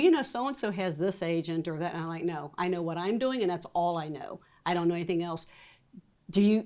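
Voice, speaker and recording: A woman's voice: on the low side, darkish tone. A woman interviewer in a meeting room, asking chatty, curious follow-up questions of authors.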